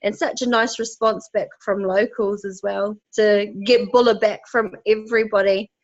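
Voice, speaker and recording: A woman from New Zealand's far north with a New Zealand accent, a netball team organiser answering interview questions in a relaxed, friendly way. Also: mid-range voice, normal speed, dull audio. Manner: relaxed, friendly, some ums.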